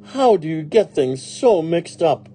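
A bossy storybook lady of the house acted out by a man, excitable and easily exasperated. The voice sits very high, swooping dramatically as it gives its orders. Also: a little slow, slight background noise. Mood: horrified, exasperated. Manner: commanding, dramatic exclamations. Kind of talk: children's storybook character reading.

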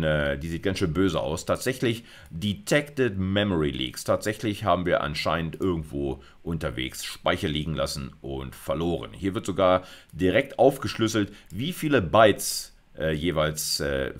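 A man's voice: low voice, moderate pace, hardly any pauses. A middle-aged man giving an informal video lecture, explaining conversationally with colloquial asides. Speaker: university lecturer. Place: indoors at a computer, recorded lecture.

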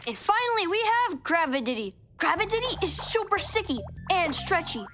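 A man's voice: very high-pitched, sing-song, moderate pace, sharp tone, heavily acted. A nerdy, nervous potion boy. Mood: earnest. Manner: wordy, nervous, scientific. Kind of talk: cartoon voice acting. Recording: music behind.

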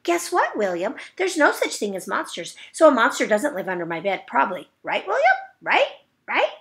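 A woman voicing a bossy, dramatic little kindergarten girl with a high, shrill, insistent voice. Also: very animated, fairly quick, a bit bright. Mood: pleading, insistent.

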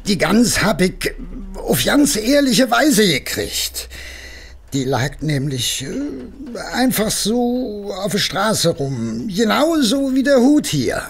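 Casting: tipsy Berlin working-class husband, good-natured boaster, slow and gravelly, for radio play. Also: male voice, high voice, big pitch swings, exaggerated voice. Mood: defensive. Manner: colloquial, wheedling, hesitant storytelling. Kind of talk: German audio drama acting.

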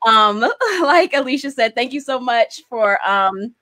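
A woman's voice: a little high, lively intonation, unhurried, pushed and bright. A woman in her forties talking casually and encouragingly as a guest on a video call, into a desk microphone. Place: small indoor room on a video call. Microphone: large desk microphone, close.

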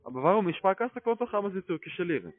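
Male telesales agent, foreign accent, non-native, insistent questions, fairly high voice, dry tinny phone line. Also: sing-song, rapid-fire, no echo. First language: not German. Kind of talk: phone sales pitch.

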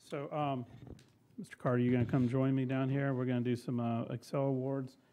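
A man's voice: mid-range voice, flat delivery, brisk, dark tone. A man informally leading part of a public meeting, heard through thin, slightly noisy audio. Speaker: meeting official leading awards. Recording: thin phone-like sound, faint noise.

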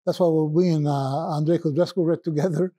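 A man with a Puerto Rican accent, a poet telling stories in a rambling, reminiscing way with filler pauses. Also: fairly high pitch, steady tone, warm tone. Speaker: poet guest. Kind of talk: relaxed conversational storytelling.

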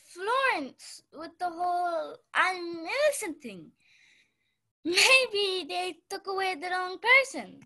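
Radio-play ingénue played by a young woman: very high, bright, hesitant, anxious and full of doubt. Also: very animated, exaggerated voice, poor audio. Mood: troubled, unsure. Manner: hesitant, drawn-out delivery. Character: Natalia, anxious, doubting, soft-hearted young lady.

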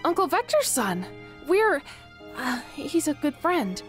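Young echidna guardian girl, acted by a woman: high, lively, earnest, easily flustered, music behind. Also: slow pace, full character voice. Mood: sheepish. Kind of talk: animated comic dub acting.

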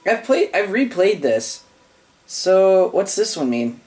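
A host playing a horror game, scared and swearing, with a voice that is wearing out from yelling. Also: mid-range voice, very animated, takes it slowly. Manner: frightened, swearing, bursts of yelling at enemies. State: voice giving out. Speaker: gaming channel host. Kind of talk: live let's-play commentary. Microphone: gaming mic.

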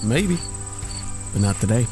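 A man's voice: on the low side, expressive, a little slow, music in the background. An actor playing an easygoing, teasing brother and ladies' man in an audio drama. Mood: breezy.

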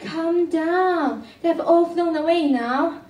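A teenage girl plays a breezy, unflappable schoolgirl adventurer in a radio play. The voice is high, distant from the mic and echoing in a theatre. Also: slow, a little mellow. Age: teens. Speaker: school drama performer. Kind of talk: radio drama acting. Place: stage of a studio theatre. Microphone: far camera. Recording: echoey theatre, distant microphone.

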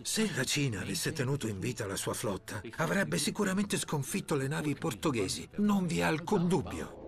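A man's voice: a little high, big pitch swings, moderate pace, vocal fry, over music. A man voicing an expert interviewee in a documentary dub.

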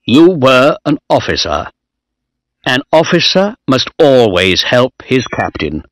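A stern naval captain presses his questions with cold authority, as a male narrator puts him on. He speaks slowly and deliberately, in a dry, close recording. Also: mid-range voice, very animated, no room sound.

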